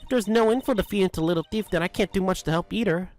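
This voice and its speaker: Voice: mid-range voice, quick pace, darkish tone, faint music behind, pitched above the performer's own voice, lightly acted. A performer voicing an eager young female sidekick in a fan dub of a game. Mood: earnest.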